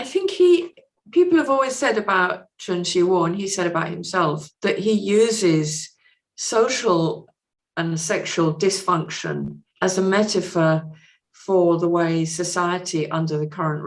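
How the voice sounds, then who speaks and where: Female literary podcast guest, gravelly and somewhat low, giving considered, plain-spoken answers in conversation.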